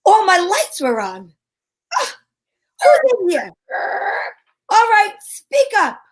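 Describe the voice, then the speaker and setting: A high, girlish-pitched female gumshoe of old-time radio, acted by a woman. She is wry and breezy, with a wisecracking way of telling her case and trading lines. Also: huge pitch swings, slow, heavily acted. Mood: breezy, teasing.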